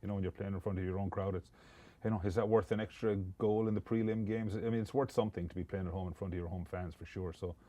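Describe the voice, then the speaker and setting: A man's voice: low-pitched, steady tone, fast, mellow. A man hosting a casual interview podcast, talking in a chatty, rambling way with many restarts. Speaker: podcast host.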